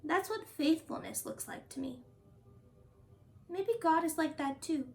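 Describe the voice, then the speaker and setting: A woman hosting a storytime, reading a children's picture book aloud with expressive, deliberate phrasing. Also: high voice. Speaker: storytime host and reader.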